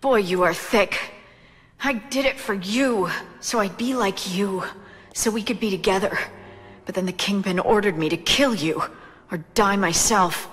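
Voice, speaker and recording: Seductive defiant femme fatale, reverberant. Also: female voice, medium pitch, unhurried, a bit bright, breathy edge. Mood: bitter, pleading. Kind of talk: video game cutscene acting. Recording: long reverb, music behind.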